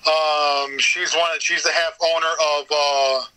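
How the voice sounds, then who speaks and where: A man's voice: a little high, flat delivery, takes it slowly, pushed and bright, clean tone, poor audio. A man speaking as an interview guest in casual, enthusiastic chat, swearing freely. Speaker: podcast guest, voice actor.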